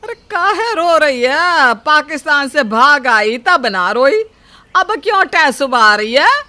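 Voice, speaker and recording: एक महिला, कार्टून नैतिक कहानी की डाँटती, लालची और हुक्म चलाने वाली सास का अभिनय करती हुई।. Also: female voice, high voice, very animated, takes it slowly, bright, forceful tone, soft background music, heavily acted. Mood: scolding. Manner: nagging, bossy. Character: scolding, greedy mother-in-law with a sharp tongue. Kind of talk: animated moral story.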